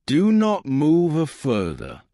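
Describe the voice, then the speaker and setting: A man voicing a quiet, practical young woman who speaks briskly and steadily in an audiobook. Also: a little high, huge pitch swings, dry recording, studio quality, voice pushed up, full character voice. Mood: brisk, urgent. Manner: brisk, matter-of-fact. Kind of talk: audiobook character dialogue.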